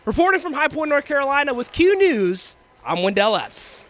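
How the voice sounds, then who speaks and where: A man's voice: extremely high pitch, very animated, normal speed, fairly bright tone. A young American man delivering sports news and commentary with an emphatic broadcast delivery. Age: young adult. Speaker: student sports anchor, reporter and play-by-play commentator.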